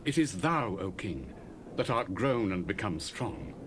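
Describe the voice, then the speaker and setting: An earnest, respectful prophet gives grave counsel with a voice that rises and falls widely. Clear tape hiss runs under the old cassette recording. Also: male voice, fairly low pitch, big pitch swings, measured pace.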